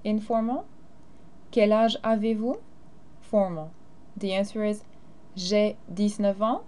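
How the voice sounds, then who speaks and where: A woman's voice: mid-range voice, steady tone, slow, dark tone. A teacher, a woman, explaining grammar and carefully modeling phrases in a recorded lesson. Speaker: French teacher.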